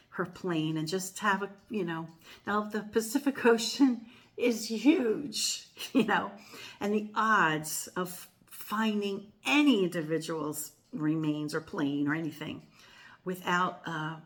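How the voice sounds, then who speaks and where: An older American woman with a slightly low voice chats to her viewers. She rambles, pauses on fillers and corrects herself mid-sentence as she hosts her tarot channel. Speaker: tarot reader and channel host. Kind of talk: casual chatty monologue to viewers.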